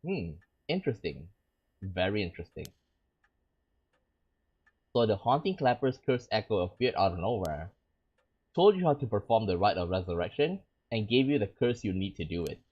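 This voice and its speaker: A skeptical but curious friend from a horror visual novel, read aloud by a man. The voice is low and husky and moves lively up and down, with a plain, conversational delivery. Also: moderate pace, pauses often, dull audio.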